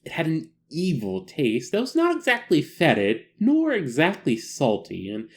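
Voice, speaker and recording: A man's voice: a little high, wildly swooping pitch, moderate pace. A man reading a classic horror short story aloud as scripted audiobook narration.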